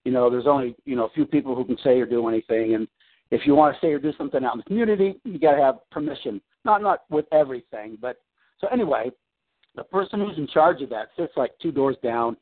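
A man's voice: medium pitch, fast. A man on a phone call from home, talking about his work in a rambling way full of restarts and fillers. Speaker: client on a coaching call. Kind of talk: casual phone conversation. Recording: tinny phone line.

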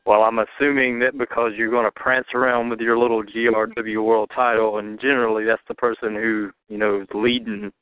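A man with a smooth, clean voice boasts and fires back at his rivals in a wrestling promo. He sounds defiant and cocky. The sound is dry and thin, like a phone call. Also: lowish voice, level tone, brisk, hardly any pauses, dry recording. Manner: boastful, defiant, talks over others. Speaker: wrestling challenger cutting a promo. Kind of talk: trash-talking wrestling promo over a call. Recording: tinny phone line.

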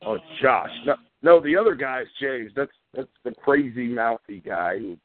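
A man's voice: medium pitch, animated, normal speed. A man hosting a live call-in chat line show, chatty and playfully announcing acts while scolding rowdy callers. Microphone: phone line. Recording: tinny phone line with loud music behind.

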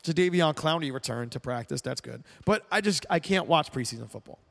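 A congested man hosts a loose sports talk show, rambling and mocking fans. His voice is gravelly and a little high. The recording has a long reverb trailing behind it. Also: expressive, fairly quick, fairly bright tone. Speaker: podcast host. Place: air-conditioned room at home. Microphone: headphones with mic.

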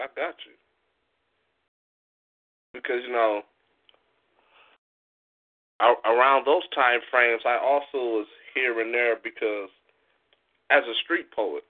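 A man hosting a casual interview in an animated, playful, slangy way, as a street poet who presents a show. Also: mid-range voice. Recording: tinny phone-line internet radio sound.

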